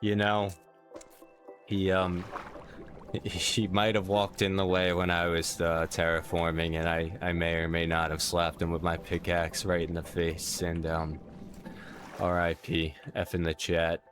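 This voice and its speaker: Young male streamer, New York accent, low voice, chatty and rambling, soft music underneath. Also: measured pace. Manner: rambling, self-interrupting, playful, jumps up in pitch for excited questions. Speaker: Minecraft streamer. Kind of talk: casual live-stream chat, reading viewer comments.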